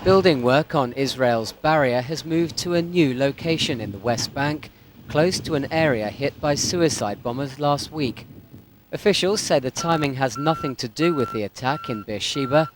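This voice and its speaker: A man narrating a scripted television news report in formal broadcast style. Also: mid-range voice. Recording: report with clear location noise behind.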